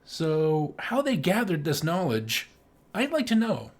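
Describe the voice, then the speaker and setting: A man's voice: a little high, wildly swooping pitch, measured pace. A man co-hosting a podcast, chatting casually about film in a rambling, jokey, sweary way. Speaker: podcast co-host.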